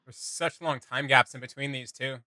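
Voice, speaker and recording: A man's voice: mid-range voice, fairly even intonation, normal speed, pushed and bright, no room sound. A man giving casual, joking reaction commentary as a video host, in an informal way.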